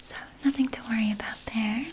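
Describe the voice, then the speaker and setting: A woman voicing a soothing, sly vampire nurse in a gentle, breathy ASMR whisper, reassuring a patient with small hesitant pauses. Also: a little high, steady tone, background noise, natural voice. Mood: soothing, evasive. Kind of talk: ASMR personal attention roleplay.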